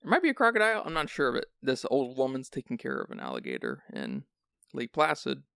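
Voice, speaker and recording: A man's voice: mid-range voice, huge pitch swings, brisk, vocal fry, bright, forceful tone, clean tone, no room sound, clean studio sound. A man hosting a film podcast who sounds sleepy, chatting casually and rambling with restarts. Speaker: podcast host.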